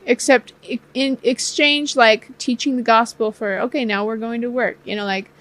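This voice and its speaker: A woman of thirty co-hosting a casual home podcast, chiming in with playful, quick remarks and questions. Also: fairly high pitch, steady tone, low-quality recording. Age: 30s. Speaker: podcast co-host. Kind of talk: casual chat. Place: home room.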